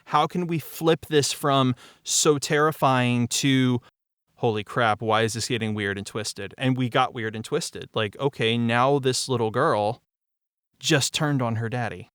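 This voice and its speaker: Clear-voiced man telling stories casually. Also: medium pitch, steady tone, measured pace, fairly bright tone, dry recording, studio quality. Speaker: voice actor and audio drama creator. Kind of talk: casual behind-the-scenes cast discussion.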